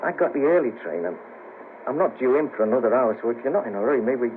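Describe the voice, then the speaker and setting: A man's voice: medium pitch, big pitch swings, quick pace, lightly acted. An actor playing a wry, friendly, down-on-his-luck ex band manager in a 1970s radio play, teasing and fond of sayings. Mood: friendly, tentative. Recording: noisy patchy 1970s radio cassette, narrow band.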